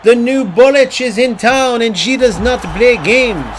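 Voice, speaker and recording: A man's voice: extremely high pitch, measured pace, voice pushed up. A man putting on a mock Croatian accent to voice a brash, tough female football star, announcing her boastfully. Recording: clear background noise.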